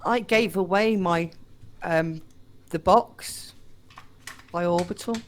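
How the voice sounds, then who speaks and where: A woman's voice: fairly low pitch, slow. A woman telling personal anecdotes in a casual chat as a live stream guest.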